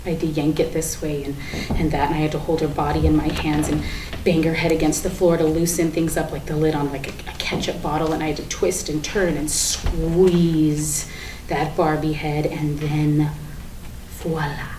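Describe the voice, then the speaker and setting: A woman's voice: low voice, little pitch movement, normal speed, lightly acted. A woman acting a bitter, manic, sardonic character in a theatrical dramatic monologue at a staged reading. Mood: hushed, conspiratorial. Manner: theatrical, emphatic, repetitive, sardonic, swinging from hushed to ranting. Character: bitter, manic woman confessing her war on a Barbie doll. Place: indoor room, live staged reading. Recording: echoey room with background hiss.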